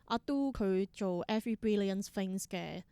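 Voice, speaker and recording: A woman's voice: medium pitch, measured pace, warm tone, smooth, dry recording. A woman with a Hong Kong Cantonese accent in a casual interview, thinking aloud reflectively and mixing in some English words. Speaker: interview guest, theatre performer and creator.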